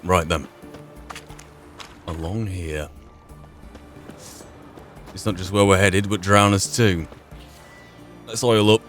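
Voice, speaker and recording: A man's voice: deep voice, fairly even intonation, slow pace, long pauses, fairly bright tone, soft background music. A man doing wry, understated let's play commentary, sometimes narrating the game as a role-play. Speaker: gaming channel host.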